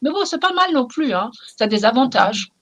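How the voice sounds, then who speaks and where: A middle-aged woman from Iran speaks French with a clear Persian accent, which she learned as an adult. Her voice sits fairly high for a woman. She talks with passion and emphasis, and she pauses often and repeats phrases to drive her point home. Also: very animated, a bit bright, lo-fi sound. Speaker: novelist, interview guest. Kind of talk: reflective interview talk.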